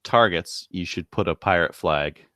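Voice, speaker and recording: A man's voice: sits low, unhurried, bright, forceful tone, dry recording. A man chatting casually on a podcast, chipping in with short remarks.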